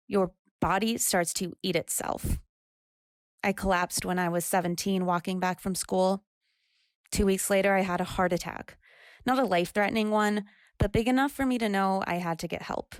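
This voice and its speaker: An actress as a troubled young woman in her twenties in an audio drama. Her voice is creaky and recorded dry and close, at an ordinary pitch. She speaks candidly and quietly, as if confessing. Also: dry recording, close to an everyday voice. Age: 20s. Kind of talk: audio drama monologue and dialogue.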